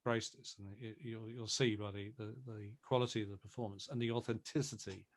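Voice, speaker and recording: A man's voice: lowish voice, fairly even intonation, fairly quick. A man talking conversationally as an interviewed producer, repeating words hesitantly as he builds his points. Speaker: audio drama producer being interviewed.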